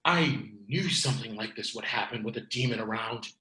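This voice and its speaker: A man's voice: fairly low pitch, moderate pace, bright, forceful tone, breathy edge, exaggerated voice. A man playing a blunt, disapproving guy in a comedy table read, scolding in manner. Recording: echoey room sound.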